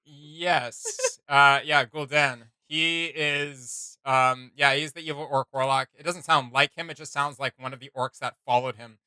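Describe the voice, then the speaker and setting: A man's voice: medium pitch, steady tone, a little slow, few pauses, sharp tone, clear, resonant tone, dry recording. A man hosting a reaction video, giving casual, rambling commentary in a conversational way.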